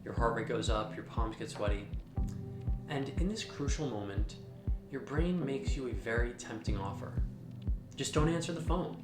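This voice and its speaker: A man's voice: mid-range voice, animated. Man narrating an explainer over music, roomy echo. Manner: measured, instructional, addressing the listener directly. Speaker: host of a mental health explainer channel. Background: background music.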